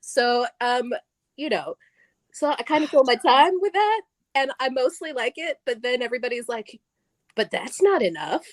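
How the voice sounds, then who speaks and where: Animated, chatty middle-aged woman. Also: high-pitched, normal speed, dry recording. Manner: animated, laughs often, restarts her sentences. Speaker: on-stage guest. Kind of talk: casual live conversation. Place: live event stage.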